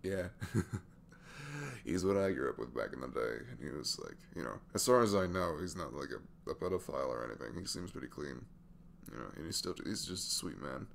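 Guy playing a sweet easygoing dad in his 20s, super gravelly velvet voice, intimate asmr chat, faint noise behind. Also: rumbling low, lively intonation, full character voice. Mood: fond, amused. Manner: halting, chuckling, conversational. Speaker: audio roleplay voice actor.

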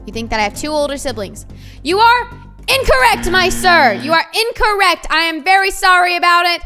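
A woman's voice: very high-pitched, big pitch swings, moderate pace, sharp tone, faint music behind. A young woman, hosting a multiplayer game in a bossy, playful way and repeating herself. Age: young adult. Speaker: game host.

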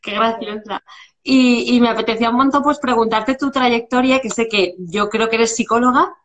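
A woman's voice: a little high, moderate pace. A woman in her forties with a Spanish accent, hosting a live video-call interview from home, chatty with many restarts. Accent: Spanish from Spain. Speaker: live stream host. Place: home room, indoors. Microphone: video call webcam.

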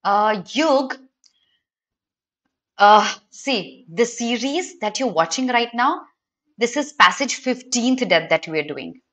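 A young woman with an Indian accent and a fairly high voice teaches a live online class. She speaks to her students directly, giving instructions and explanations as an exam prep teacher. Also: expressive, slow, a bit bright.

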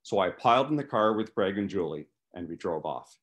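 Male author, fifties, story narration, animated delivery, very varied pitch, video call. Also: fairly quick. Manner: animated, acts out dialogue. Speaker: author reading from own novel. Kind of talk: narrated book reading, first person. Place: small living room, indoors. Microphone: video call, computer microphone.

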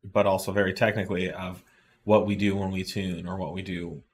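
A man's voice: sits low, flat delivery, fairly quick. A middle-aged man hosting a live chat, explaining engine tuning in plain terms with many fillers and restarts, recorded in an indoor studio. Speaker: host. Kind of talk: casual technical explanation. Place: indoor studio with shop products on desk.

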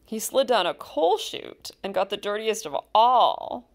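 A woman reading a children's picture book aloud, with expressive, deliberate storytelling and stressed key words. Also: medium pitch, a little hiss or hum. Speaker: storybook reader.

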